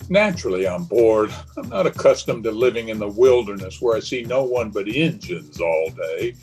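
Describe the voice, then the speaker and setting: A man's voice: lowish voice, very animated, moderate pace, a little mellow, soft background music. A man playing a bored, sarcastic, irritable husband in the manner of a 1930s radio drama. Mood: irritated boredom.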